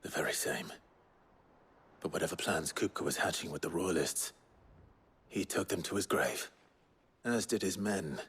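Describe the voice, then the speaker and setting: A man playing a brooding, earnest fantasy hero in a video game, with a gruff, husky voice and an understated delivery. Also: low voice, a bit bright, full character voice. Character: brooding young male hero, earnest and restrained. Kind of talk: dramatic video game acting. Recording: music behind the voice.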